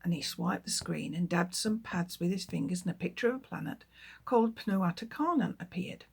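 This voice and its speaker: A woman, a children's author, reading her book aloud with lively, expressive storytelling. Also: lowish voice, normal speed, vocal fry, husky.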